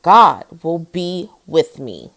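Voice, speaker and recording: A woman's voice: lowish voice, sing-song, slow pace, gravelly, fairly bright tone, voice pushed down, heavily acted. A woman voicing a brave, faithful young boy hero in a children's storybook, declaring himself boldly. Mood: fearless, faithful. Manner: bold, declaring, unafraid.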